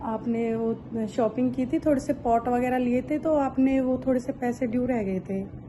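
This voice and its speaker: A woman's voice: fairly high pitch, moderate pace, background noise, natural voice. A woman playing an aggrieved, guilt-tripping shopkeeper on a prank call, plaintive, insistent and a little hesitant. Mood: polite, insistent. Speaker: prank caller. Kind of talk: improvised prank phone call.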